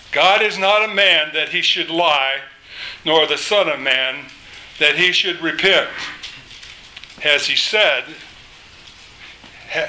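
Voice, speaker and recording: An older man preaching a sermon, reading scripture aloud with emphatic, drawn-out phrasing and a loud voice. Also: fairly high pitch, a little hiss or hum, low-quality recording. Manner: slow, emphatic delivery, rises when quoting scripture.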